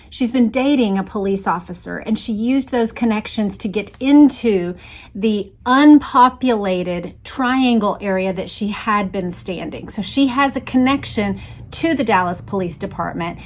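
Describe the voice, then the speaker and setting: A woman host talking through material and reading aloud in a deliberate, emphatic, explanatory way. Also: mid-range voice, a little mellow. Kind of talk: explanatory talk and dramatic read-aloud.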